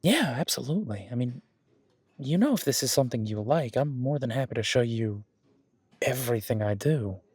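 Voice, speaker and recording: A man's voice: mid-range voice, expressive, moderate pace, darkish tone, breathy edge, no room sound. A man playing a friendly, easygoing werewolf boyfriend who coaches his date at the gym in a casual, encouraging, rambling roleplay audio. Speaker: gym partner giving workout guidance. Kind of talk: roleplay audio drama, one-sided casual conversation.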